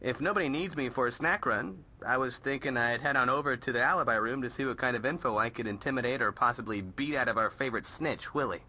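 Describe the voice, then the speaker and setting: An actor playing a cocky, joking teenage sidekick with an American accent in a video game, full of sarcasm. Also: male voice, mid-range voice, fast, few pauses, bright, forceful tone.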